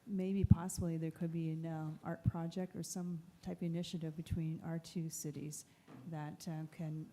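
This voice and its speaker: Very low, flat, dark-voiced woman councillor. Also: normal speed. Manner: hesitant, polite, many fillers. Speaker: city councillor. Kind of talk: council meeting question.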